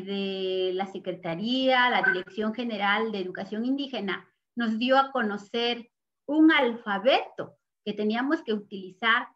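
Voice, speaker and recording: Slow-spoken older Mexican woman. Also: medium pitch, muffled recording. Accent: Mexican Spanish. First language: Nahuatl. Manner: measured and earnest, pausing with soft fillers. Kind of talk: slow, reflective interview testimony.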